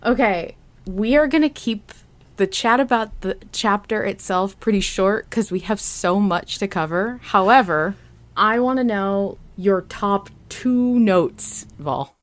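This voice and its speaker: A woman's voice: mid-range voice, slow pace. A woman hosting a casual fan podcast, talking in a chatty, enthusiastic way. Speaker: podcast host.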